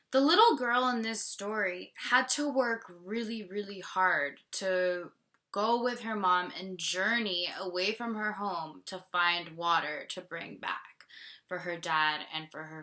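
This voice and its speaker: A woman's voice: mid-range voice, bright, forceful tone. A woman from Canada reading a picture book aloud as a teacher and explaining it simply to children.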